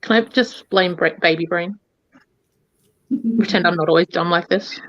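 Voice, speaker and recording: A woman's voice: fairly low pitch, fairly even intonation, normal speed, dry recording. A woman rambles through casual talk on a live stream. Her sound is dry and a bit dull, like a lo-fi call line. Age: 30s. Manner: rambling, forgetful, chatty. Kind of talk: casual chat.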